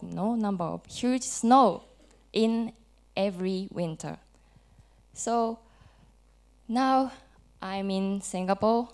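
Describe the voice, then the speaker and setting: Slow, clear-voiced Japanese woman presenting. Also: mid-range voice, a little mellow. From Japan, Hokkaido. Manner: halting, simple non-native English with small restarts. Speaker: conference presenter. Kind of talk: academic presentation with light jokes. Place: conference hall.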